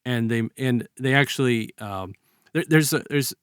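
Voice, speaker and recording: Man in halting, conversational discussion, close dry sound. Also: medium pitch, clean tone, dry recording. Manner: halting, conversational, animated retelling with acted-out quotes. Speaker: podcast host. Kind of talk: casual reflective literary discussion.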